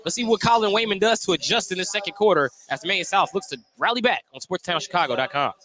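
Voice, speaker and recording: High-voiced American man calling basketball play-by-play. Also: quick pace, bright, forceful tone. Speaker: play-by-play sports announcer. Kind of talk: live radio basketball play-by-play commentary.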